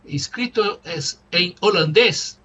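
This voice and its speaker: A man whose native tongue is Portuguese talks slowly, stopping often to hunt for the right word. His voice sounds bright and a little high. The audio is somewhat muffled, with faint background noise. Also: expressive. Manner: halting, searching for words, many fillers. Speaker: language student. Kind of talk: casual conversation practice.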